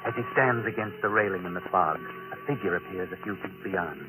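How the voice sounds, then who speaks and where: A man's voice: sits low, close to an everyday voice, low-quality recording. A man playing a sinister, knowing narrator of a 1940s radio mystery, dramatic and ominous, on a vintage recording. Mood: suspenseful. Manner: dramatic, ominous, deliberate phrasing. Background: music.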